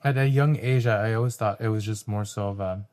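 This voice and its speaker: A young man with a low-pitched voice speaks as a podcast guest. He talks in a relaxed, reflective way and pauses often on um. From Canada. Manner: relaxed, reflective, with frequent ums. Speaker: guest artist. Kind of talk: casual interview talk.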